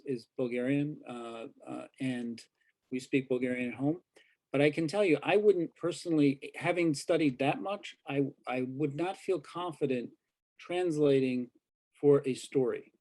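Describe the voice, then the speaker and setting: A man speaking thoughtfully as a journalist on a panel discussion over a video call. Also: a little high. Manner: measured, thoughtful, trails off softly.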